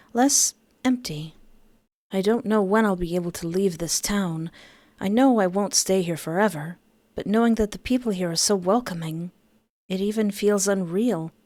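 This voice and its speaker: A woman reading a visual novel aloud, gentle and reflective as narrator and protagonist. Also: medium pitch, dry recording. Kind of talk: reading a game's story aloud.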